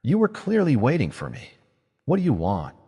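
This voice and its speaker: Blunt suspicious young wizard guy, a bit higher than the narrator, man voicing him, husky, echoey. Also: wildly swooping pitch, normal speed, dark tone. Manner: guarded, dry, confrontational. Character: wary, blunt young male mage.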